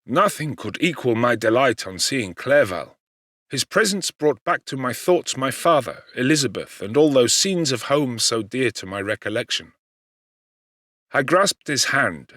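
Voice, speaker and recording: A man reading literary narration as an audiobook actor, in an expressive, measured and theatrical manner. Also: medium pitch, dry recording, studio quality.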